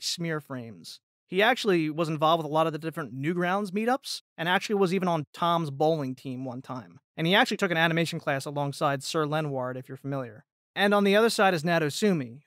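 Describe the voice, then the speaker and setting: A man with a fairly high voice chats casually as a podcast guest. His talk is informal and full of "like" and "you know", and the sound is close and dry. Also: fairly bright tone, dry recording.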